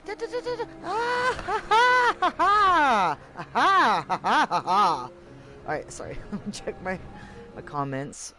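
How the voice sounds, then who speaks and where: A man streaming a game, with excitable, giggly, profane commentary. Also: extremely high pitch, measured pace. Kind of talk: casual gaming commentary. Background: game music.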